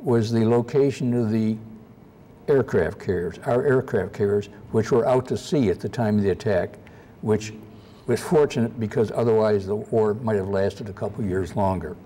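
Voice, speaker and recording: A soft-spoken man giving a slide-show history lecture at a convention, halting often with uhs. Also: fairly low pitch, a little hiss or hum. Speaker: guest lecturer.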